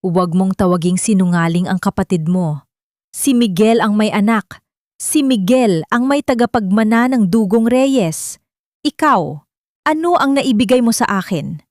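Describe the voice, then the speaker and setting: A cruel older matriarch of a wealthy family, acted out by a woman narrator. She is scornful and commanding, with dramatic contempt in a mid-pitched voice. The audio-drama recording is very dry. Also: normal speed, darkish tone, no echo, close to an everyday voice. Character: cruel, contemptuous older rich matriarch berating her son.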